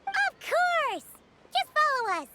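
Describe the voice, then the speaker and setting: A woman voicing a tiny, cheeky, money-loving childlike fairy companion in a video game, squeaky and exclamatory. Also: big pitch swings, takes it slowly, very noisy, full character voice. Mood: excited. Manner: speaks of herself in third person, exclamatory. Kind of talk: video game character acting.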